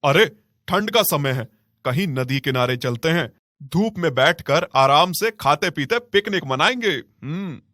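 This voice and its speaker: A man's voice: fairly high pitch, animated, unhurried, sharp tone, no room sound, studio quality. A man playing a greedy, callous husband in a cartoon moral tale, smug and scheming. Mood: falsely friendly. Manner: smug, scheming, with villainous hums.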